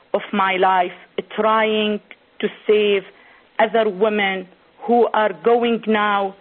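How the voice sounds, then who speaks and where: A woman from Syria, giving a prepared conference speech as an author, delivering it slowly phrase by phrase. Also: mid-range voice. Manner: slow, deliberate, phrase-by-phrase delivery. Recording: tinny phone-like sound.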